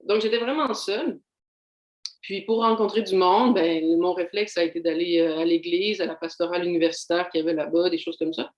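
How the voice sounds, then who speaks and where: A young adult woman with a Québécois accent gives a candid, reflective personal testimony, pausing often to find her words. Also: lowish voice, fairly even intonation, a little mellow, roomy sound, lo-fi sound. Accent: Québécois French. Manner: candid, reflective, halting with frequent fillers. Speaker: doctoral student giving a faith testimony. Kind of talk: personal testimony in a video seminar.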